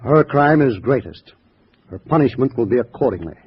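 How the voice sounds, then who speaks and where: Husky, menacing party boss. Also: male voice, medium pitch, animated. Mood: cold, threatening. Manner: stern, measured, formal. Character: cold, menacing male communist party boss. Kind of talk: 1950s radio drama acting. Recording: muffled vintage radio recording.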